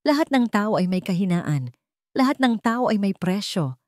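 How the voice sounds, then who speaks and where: A woman's voice: medium pitch, big pitch swings, normal speed, darkish tone, no room sound, natural voice. A woman voicing a cold, scheming, wealthy elderly villainess in a commanding, menacing way for a dramatic story. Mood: cold, demanding.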